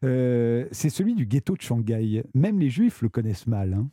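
A man's voice: medium pitch, very animated, moderate pace, warm tone, slightly rough, no echo, clean studio sound. A man hosting a radio interview, introducing guests and asking long questions with many hesitations. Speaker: radio host.

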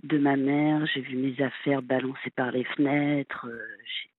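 Woman in her fifties, caller, husky voice, halting, hesitant, tinny phone line. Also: very deep voice, flat delivery, no room sound. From France. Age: 50s. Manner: halting, hesitant, many fillers and restarts. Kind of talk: late-night radio call-in confession.

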